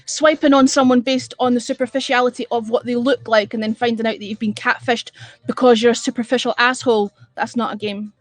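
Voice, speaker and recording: A woman's voice: fairly high pitch, steady tone, a little fast, dry recording, soft background music. A woman from Edinburgh with a Scottish accent, hosting a casual live stream and chatting bluntly and exasperatedly with viewers, swearing freely. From Scotland. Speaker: livestream host.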